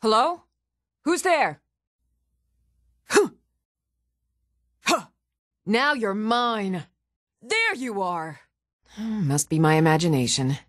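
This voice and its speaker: A woman's voice: medium pitch, lively intonation, takes it slowly, a bit bright, dry recording, clean studio sound. A woman playing a stern, challenging Nord townswoman ready for a fight in a fantasy video game. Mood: wary, searching. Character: fierce, even-tempered Nord townswoman warrior, commoner in a fantasy world. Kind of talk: fantasy video game combat and reaction lines.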